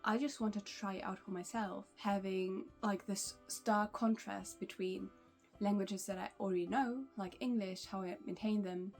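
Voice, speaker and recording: A young woman, German by first language, chats in English to her camera in a loose, rambling way, often restarting her sentences and laughing softly. Her pitch sits in the middle of the range. Gentle music plays faintly underneath. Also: fairly even intonation, normal speed. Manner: chatty, with frequent restarts and small laughs. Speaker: language-learning vlogger. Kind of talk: casual vlog talk to camera.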